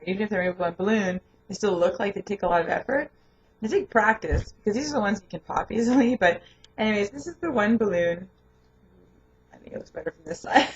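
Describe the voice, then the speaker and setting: A young woman with a lingering hoarse voice, chatting casually and rambling in a home vlog, laughing often. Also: mid-range voice, muffled recording. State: hoarse, voice not fully recovered. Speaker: vlogger and home daycare provider. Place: her home, indoors.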